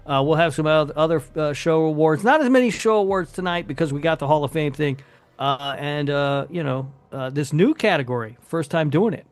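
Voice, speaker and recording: A man's voice: on the high side, lively intonation, fairly quick, no room sound. A man co-hosting a podcast in casual, conversational chat. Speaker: podcast co-host.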